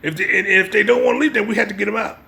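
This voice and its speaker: A man with a gravelly voice giving an informal religious lecture, part reading and part commentary, emphatic and repeating his phrases to press the point. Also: high voice, steady tone, racing, bright, forceful tone. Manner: emphatic, repeats phrases, checks listener's understanding. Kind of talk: informal religious lecture mixing read-aloud passages and commentary.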